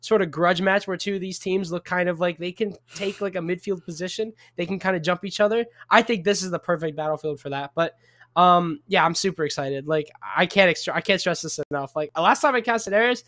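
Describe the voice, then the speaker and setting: Man, esports co-caster, bright-ish higher voice, dry close sound, excitable rambling delivery. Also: no echo. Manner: upbeat, rambling, quick to joke. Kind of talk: casual esports co-commentary.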